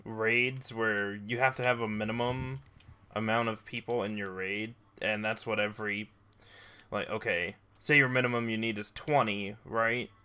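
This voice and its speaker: A young man streaming a game, chatting casually with friends and explaining things in a dry, drawn-out way. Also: lowish voice, steady tone, bright, forceful tone, smooth. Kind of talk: casual gaming chat.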